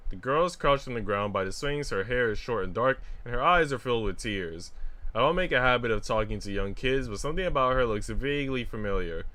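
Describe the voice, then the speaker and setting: A man's voice: mid-range voice, animated, brisk, sharp tone. A man livestreaming a visual novel, reading it aloud and chatting casually with informal, joking asides.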